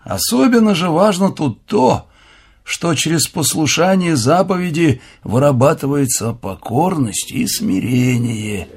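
Creaky, lilting old monk elder. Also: male voice, on the high side, big pitch swings, measured pace, heavily acted. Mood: gently instructive. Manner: folksy, teasing, humble, instructive. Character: gentle, witty old monk elder, ailing but cheerful. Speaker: actor in a radio play. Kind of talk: Russian radio drama acting, telling parables.

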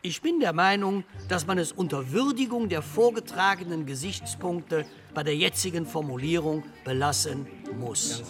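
An actor playing a cautious parliamentary delegate of 1948, arguing in a formal, measured, legalistic manner. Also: male voice, on the high side, over music, lightly acted. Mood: measured, insistent. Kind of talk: formal debate speech. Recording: long hall reverb, faint noise.

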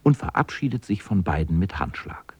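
A man's voice: sits low, sing-song, moderate pace, noisy background. A man narrating a scripted children's radio play as an observant storyteller.

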